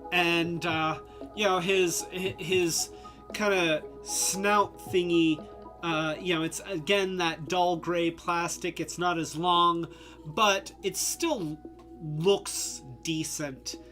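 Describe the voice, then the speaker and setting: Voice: medium pitch, fairly even intonation, takes it slowly, fairly bright tone, soft background music. A live-stream host reviewing toys in a casual, rambling way, congested and losing their voice, a bit tipsy from drinks. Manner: rambling, many fillers and restarts.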